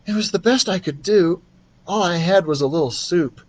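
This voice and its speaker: A man's voice: sits high, moderate pace, pitched above the performer's own voice, low-quality recording. A man voicing a kind young boy who feels ill, speaking in a listless, subdued way for a storybook. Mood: weary, apologetic.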